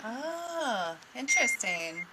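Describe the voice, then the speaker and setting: A woman's voice: fairly high pitch, big pitch swings, drawn-out words, bright, forceful tone, a little hiss or hum, poor audio. A woman co-hosting a casual interview over a video call, asking curious, chatty questions.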